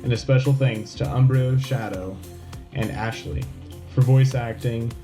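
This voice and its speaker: A man's voice: medium pitch, a little slow, over music. A man speaking as a podcast host, informal and plain-spoken. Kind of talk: informal podcast announcements and outtakes.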